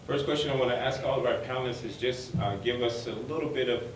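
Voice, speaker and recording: A man's voice: medium pitch, quick pace. A man moderating a university panel, speaking hesitantly with many ums and uhs. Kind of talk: hesitant opening remarks. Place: university lecture room. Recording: echoey hall, far mic, noisy.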